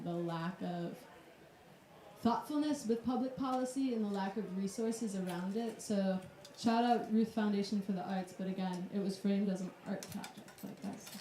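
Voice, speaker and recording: A woman's voice: medium pitch, mellow. A woman hosting a live artist talk in a club, nervous and self-deprecating, with lots of fillers. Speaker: host. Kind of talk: casual live panel moderating. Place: club room with audience. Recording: echoey room, distant mic, crowd.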